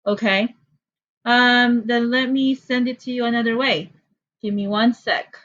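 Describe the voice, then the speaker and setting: A woman's voice: fairly high pitch, fairly even intonation, a little slow, fairly bright tone, lo-fi sound. A woman from the Philippines with a Filipino accent, teaching an online class in a chatty, apologetic way with frequent fillers. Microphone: computer mic on a video call.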